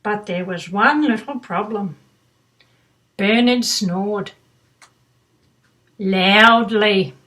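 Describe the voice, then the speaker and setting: Very slow, bright older woman reading bedtime stories. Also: mid-range voice. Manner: doting, grandmotherly. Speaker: storybook reader. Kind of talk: children's bedtime story read aloud with chatty asides.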